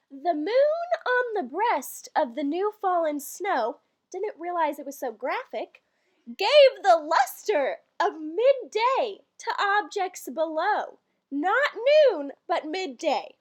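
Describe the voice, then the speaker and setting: Female reader, very high voice, lively swings in pitch, theatrical comic storytelling with dry asides. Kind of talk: dramatic comedic reading of a Christmas poem with ad-libbed asides.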